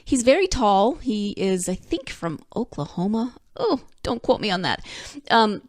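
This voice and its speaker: A woman's voice: mid-range voice, very animated, a little slow, no echo, clean studio sound. Congested, chatty woman podcast host. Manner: chatty and upbeat, with frequent fillers and self-corrections. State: congested, snuffly, slightly scratchy throat. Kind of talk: casual solo podcast monologue. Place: home in Northern California.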